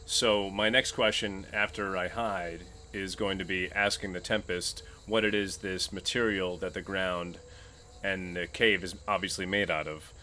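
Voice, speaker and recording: A man's voice: low voice, sharp tone, a little hiss or hum. A man playing a tabletop role-playing game, casually thinking his moves through aloud.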